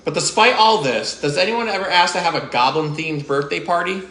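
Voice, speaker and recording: A man's voice: on the high side, fairly quick, fairly bright tone, echoey room, a little hiss or hum, close to an everyday voice. A man reading aloud as a grumpy, jealous male goblin who complains indignantly. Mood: grumbling.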